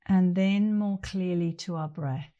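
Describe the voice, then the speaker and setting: A middle-aged woman leading a guided meditation as an instructor, speaking gently and leaving long pauses between phrases. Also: on the low side, highs cut off.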